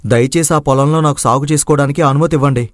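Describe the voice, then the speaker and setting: A man's voice: on the high side, fairly even intonation, quick pace, no echo, studio quality, natural voice. A man playing an earnest, respectful, devoted young son who farms, in a dramatized moral story. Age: young adult.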